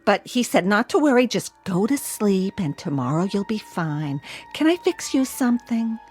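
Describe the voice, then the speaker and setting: An actress in a radio drama playing a sardonic, exasperated working-class wife, talking in brassy slang. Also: female voice, medium pitch, sing-song, normal speed, darkish tone, faint music behind, natural voice. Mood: weary, impatient.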